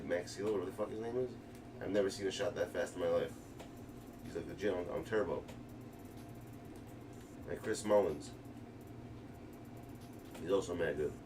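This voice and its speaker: New streamer, distant and noisy. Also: male voice, low-pitched. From New York. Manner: excited, slangy, rambling. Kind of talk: casual gaming livestream commentary. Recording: distant mic with clear background noise.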